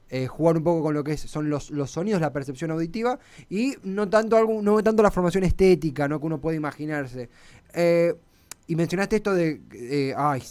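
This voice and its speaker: A man with an Argentine accent hosting a radio conversation, forming questions in a halting way with repeated words. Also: fairly high pitch, darkish tone, clear, resonant tone. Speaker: radio host. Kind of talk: conversational interviewing.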